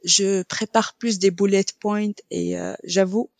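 A young woman speaking French as a non-native, talking as a podcast guest and pausing often on filler sounds. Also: mid-range voice, steady tone, creaky, no room sound. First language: neither French nor English, trilingual. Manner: hesitant, many filler sounds. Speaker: podcast guest, quality coach. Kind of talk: casual interview talk.

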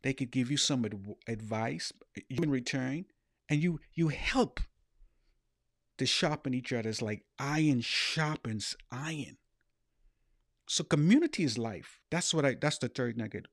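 A man's voice: mid-range voice, animated, unhurried, a bit bright, dry recording, studio quality. A middle-aged man with a Bahamian accent giving an earnest, emphatic motivational talk as a podcast host and life coach, repeating key phrases.

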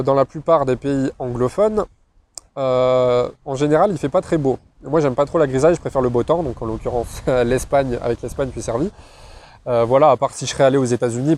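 Young french guy vlogging, mellow warm voice, lots of pauses and restarts. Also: mid-range voice. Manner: hesitant, many fillers and restarts, short laughs. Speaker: self-employed online entrepreneur. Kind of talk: casual personal talk to camera.

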